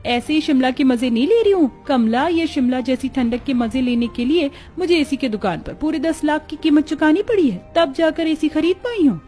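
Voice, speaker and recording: A woman voicing a demanding, boastful young sister-in-law in an animated family story. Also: sits high, moderate pace, few pauses, soft background music, pitched above the performer's own voice, lightly acted. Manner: insistent, haughty.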